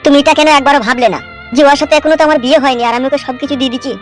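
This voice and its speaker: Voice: high-pitched, fairly even intonation, racing, faint music behind, close to an everyday voice. A performer voicing a scolding, streetwise young man in a comedy cartoon.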